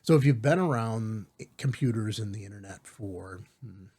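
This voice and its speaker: A man hosting a step-by-step software tutorial in an informal, reassuring way, often checking in with rhetorical asides. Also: lowish voice, normal speed, husky. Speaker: tutorial host.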